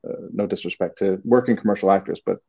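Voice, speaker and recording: A man's voice: low-pitched, quick pace, gravelly, warm tone, breathy edge, muffled recording. A man from Canada with a Canadian accent, answering interview questions casually and hesitantly, with many restarts. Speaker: creative director, guest.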